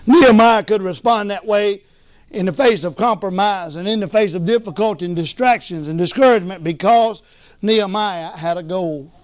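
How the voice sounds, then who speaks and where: A man preaching a sermon as pastor, hoarse from losing his voice, emphatic and calling for responses. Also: high-pitched, moderate pace, no room sound. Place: church sanctuary.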